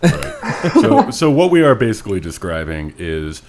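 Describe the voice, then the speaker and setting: A man's voice: on the low side, very animated, moderate pace, darkish tone. A man co-hosting a podcast, delivering casual comedic critique in a sarcastic, emphatic way. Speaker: podcast co-host.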